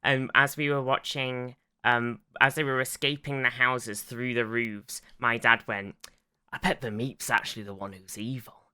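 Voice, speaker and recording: Very bright, clear-voiced male podcast co-host. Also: medium pitch, level tone, moderate pace. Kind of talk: casual TV episode recap chat.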